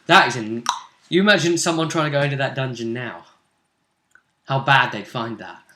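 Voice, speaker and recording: A man chatting casually as a game master, recounting a tabletop session like an animated storyteller. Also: mid-range voice, normal speed. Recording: echoey untreated room.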